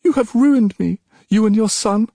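Shrill, impassioned young princess, pitched very high. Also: male voice, moderate pace, creaky, mellow, no room sound, clean studio sound. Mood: indignant. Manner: shrill, insistent, emotional.

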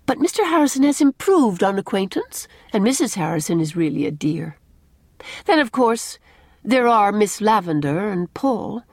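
A woman voicing a cheerful, romantic young bride-to-be in an audiobook, expressive and lyrical with a teasing touch. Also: medium pitch, moderate pace, husky, no room sound, voice pushed up. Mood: fond. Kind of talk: audiobook character dialogue.

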